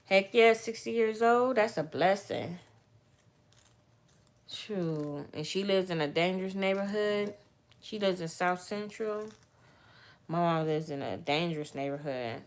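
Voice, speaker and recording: A woman, chatting casually and candidly with viewers, rambling and turning soft and heartfelt as she talks. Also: on the low side, a little slow. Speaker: vlogger answering viewer questions. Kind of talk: casual livestream chat.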